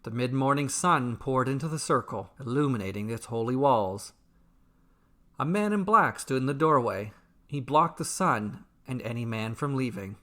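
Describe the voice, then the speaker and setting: A man reading a scripted fantasy story as its storyteller, in measured, dramatic, deliberately broken phrases. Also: medium pitch, animated, fairly bright tone. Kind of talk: scripted fantasy bedtime narration.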